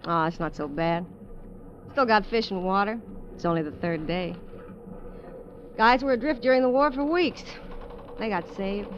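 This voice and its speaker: An actress playing a weary, candid dancer adrift on a derelict ship in 1950s radio drama style, talking in a hesitant, low-key way. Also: female voice, lively intonation, normal speed, long pauses, a little hiss or hum, lightly acted. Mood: wistful. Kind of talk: 1950s radio drama acting and first-person narration. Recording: dull vintage radio, music behind.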